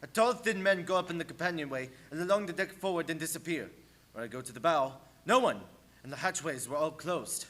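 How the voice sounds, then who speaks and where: A man's voice: a little high, animated, brisk, fairly bright tone. A man playing a haunted, agitated ship's mate driven mad by terror in a radio play. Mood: uneasy, insistent. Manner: intense, agitated. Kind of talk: dramatic radio play acting.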